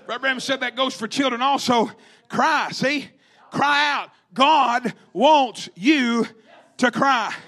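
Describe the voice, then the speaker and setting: A man's voice: extremely high pitch, slow, a bit bright. A man preaching a fervent revivalist sermon, emphatic and repetitive, calling out to his congregation in rhythmic exhortation. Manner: calls out to the congregation.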